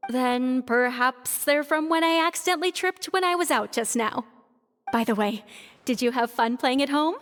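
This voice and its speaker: A woman voicing a gentle, reassuring mother with a tender, soothing manner in a dramatic video game scene. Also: sits high, normal speed. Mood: evasive, concerned. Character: gentle, reassuring mother of two young daughters. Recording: long reverb, music underneath. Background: music.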